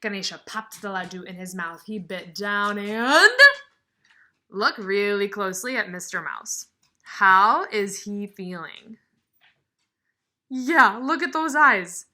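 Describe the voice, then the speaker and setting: A woman kindergarten teacher reading aloud and explaining to young children in an expressive, emphatic way, with questions to the listeners. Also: mid-range voice, takes it slowly.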